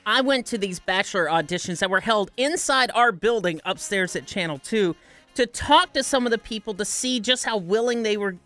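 A man working as a radio producer, telling a prank story on air and pitching made-up shows with a straight face. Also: moderate pace, soft background music. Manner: playful, deadpan pitching of fake shows.

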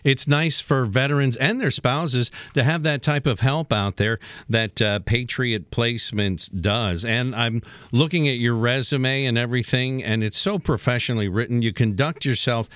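A man's voice: mid-range voice, moderate pace, few pauses, fairly bright tone, clean tone, no echo. Male radio interviewer, dry studio sound. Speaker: radio show host interviewer.

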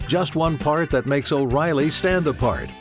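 A man's voice: fairly high pitch, normal speed. A man reading a scripted radio commercial as its announcer, over guitar music.

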